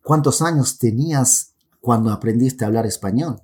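A man from Peru with a Peruvian Amazonian accent, hosting a podcast for Spanish learners in a welcoming, measured way. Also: medium pitch, a little mellow, husky. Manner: welcoming, measured, with some pauses and fillers. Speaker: podcast host.

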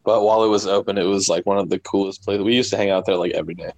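A man with a fairly low voice chats casually as a podcast guest. His talk is slangy and informal, full of bro and man. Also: fairly even intonation, very fast, darkish tone, no echo. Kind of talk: casual group chat.

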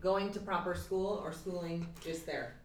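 A woman's voice: lowish voice, steady tone, a little slow, darkish tone, roomy sound, slight background noise, poor audio. A woman hosting a casual interview in an office, encouraging her guest with many short affirmations.

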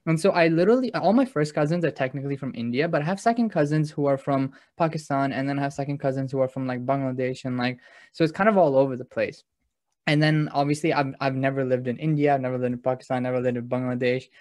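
A man's voice: medium pitch, fast talker, darkish tone, dry recording. Brief: male podcast co-host, mid-range voice, rambling and filler-heavy, dry close sound. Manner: rambling, heavy use of 'like'. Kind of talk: casual podcast chat.

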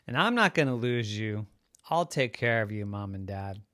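A man's voice: fairly low pitch, expressive, normal speed, pushed and bright, no room sound, studio quality. A man voicing a confident young girl in a children's story, bossy and insistent.

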